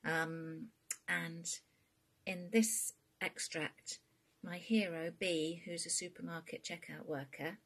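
A woman's voice: lowish voice, fairly even intonation, slow, fairly bright tone, slightly rough. A woman, an author, introducing and narrating an extract from her own book.

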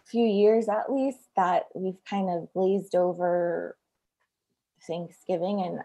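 Unhurried laughing woman in chat. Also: on the low side. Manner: relaxed, laughs often, thoughtful asides. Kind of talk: casual podcast conversation.

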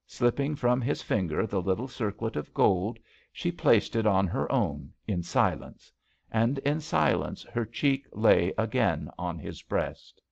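A man's voice: on the low side, animated, a little slow, gravelly, a little mellow. A man reading literary fiction aloud as an audiobook narrator, in a slightly dull recording. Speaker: audiobook reader.

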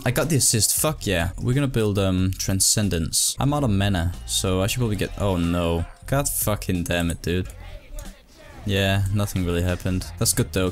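A young man from Denmark giving casual, exasperated, sweary commentary while playing a video game. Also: fairly low pitch. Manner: sweary, exasperated gamer talk. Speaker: gaming video host.

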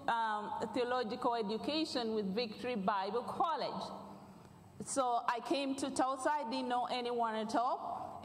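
A middle-aged Ugandan woman preaching from a church stage, her high voice carrying a fervent, repetitive prayer cadence. The sound rings with a long hall reverb, heard from a stage mic with faint room noise behind. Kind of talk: prayer and sermon.

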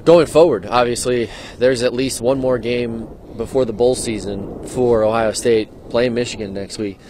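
A man's voice: medium pitch, fairly even intonation, normal speed, darkish tone. A man in his thirties giving a live postgame TV report from an outdoor football stadium at night, speaking into a handheld mic in an earnest, questioning way. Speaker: sports reporter. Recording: faint stadium noise outdoors.

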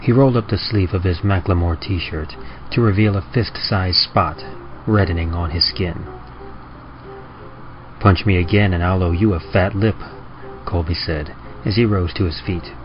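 A man giving a dramatic scripted reading of horror, measured and deliberate, with pauses for effect. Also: low voice. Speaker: narrator of horror stories. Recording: muffled audio with clear background noise.

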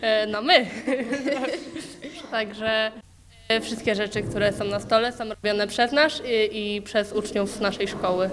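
A teenage girl student explaining a school event in halting speech full of fillers, into a handheld mic. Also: medium pitch, background noise. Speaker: student interviewee. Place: school hallway. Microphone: handheld mic held by interviewer.

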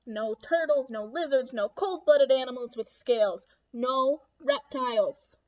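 High-voiced young woman reading to kids. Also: measured pace, darkish tone, highs cut off. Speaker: children's read-aloud host. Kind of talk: expressive picture-book read-aloud for children. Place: small room at home.

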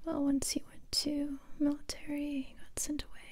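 A young woman playing a tough, determined survivor interrogating a captive in a soft, breathy ASMR whisper, close to the microphone. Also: high-pitched, monotone. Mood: hushed, menacing. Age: young adult. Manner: slow, deliberate, repeating phrases. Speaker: ASMR roleplay performer.

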